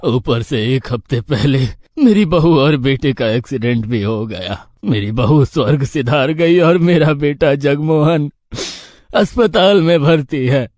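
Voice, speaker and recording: A man's voice: a little high, a little slow, darkish tone, no room sound, studio quality, close to an everyday voice. A man playing a poor, humble old village farmer in a cartoon story, pleading and lamenting in despair. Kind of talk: Hindi cartoon moral story dialogue.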